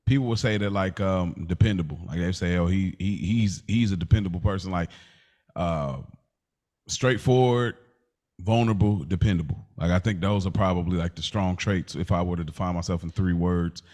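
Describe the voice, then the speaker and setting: A man with a fairly low-pitched voice co-hosts a casual podcast. He talks like he is among friends, spinning stories in loose slang and leaning on "bro" and "like" as he goes. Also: normal speed. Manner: slangy, animated storyteller, often says "bro" and "like". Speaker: podcast co-host. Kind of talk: casual chat.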